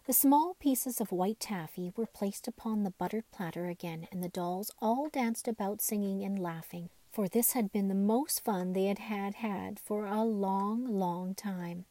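A woman reads a children's bedtime story. Her voice sits in the middle of the female range and has a soft, mellow tone. The sound is dry, with little room around it. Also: medium pitch, unhurried, hardly any pauses, dry recording. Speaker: storyteller reading a children's book. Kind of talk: scripted bedtime story narration.

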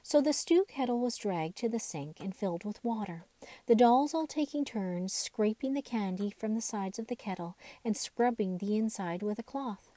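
Soft mellow woman reading a bedtime story. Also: medium pitch, animated, moderate pace, no room sound. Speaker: storyteller reading a children's book. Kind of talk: scripted bedtime story narration.

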